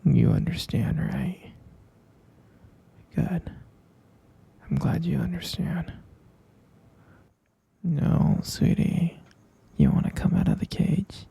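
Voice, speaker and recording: A man performing a boyfriend ASMR roleplay as an obsessive, possessive yandere captor, cooing endearments with soft menace in a breathy, husky whisper with gravelly creak. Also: a little high, huge pitch swings, takes it slowly, long pauses, exaggerated voice. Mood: controlling, coaxing.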